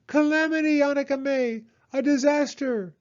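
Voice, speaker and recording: A man's voice: extremely high pitch, normal speed, lo-fi sound, voice pushed up. A man voicing a fussy, alarmist male owl in a children's storybook, with a dramatic, exclaiming manner. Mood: alarmed.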